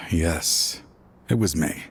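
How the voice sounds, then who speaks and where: A man's voice: extremely low pitch, a little hiss or hum, heavily acted. An actor playing an aging, embittered ex-enforcer and grieving father in a video game, with a weary, dramatic delivery. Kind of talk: English video game voice acting.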